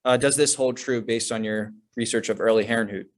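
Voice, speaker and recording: A man's voice: medium pitch, steady tone, brisk. A man moderating an event, reading a written question from the chat aloud.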